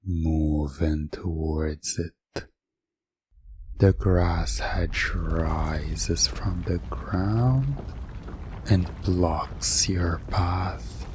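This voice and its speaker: A man narrating a scripted second-person hypnosis ASMR story in a hushed, suspenseful manner. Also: low voice, very slow, slight background noise. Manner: hushed, breathy at times, suspenseful.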